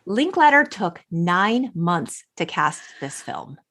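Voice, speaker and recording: A woman's voice: on the low side, sing-song, takes it slowly, fairly bright tone. Middle-aged woman hosting a podcast conversation. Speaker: podcast host. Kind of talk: conversational film discussion.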